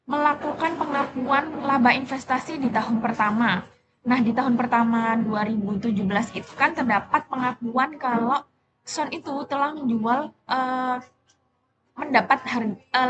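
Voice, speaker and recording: A young adult woman giving an explanatory class presentation as a student, in a halting, self-correcting manner. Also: on the high side, dull audio, noisy background. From Indonesia.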